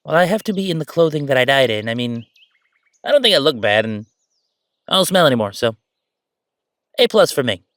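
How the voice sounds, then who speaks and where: A man's voice: mid-range voice, sing-song, fairly quick, long pauses, fairly bright tone, no room sound, clean studio sound. A man playing a chatty, easygoing ghost who died at thirty-five, rambling casually with wry asides in an audio roleplay. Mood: wry, resigned. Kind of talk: conversational audio roleplay monologue.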